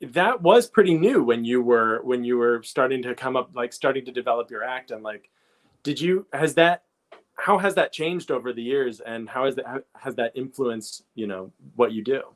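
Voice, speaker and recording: A man's voice: mid-range voice, lively intonation. A man hosting a casual interview, feeling his way through questions and rephrasing them as he goes. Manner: halting, repeats and rephrases questions thoughtfully. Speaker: interviewer, host.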